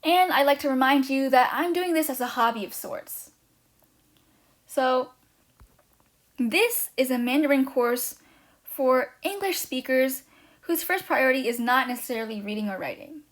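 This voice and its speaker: A woman with a slightly higher voice introduces her language course. She talks quickly and eagerly, with a touch of nervous energy, reading from a prepared script. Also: lively intonation. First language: not Chinese. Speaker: language teacher, channel host.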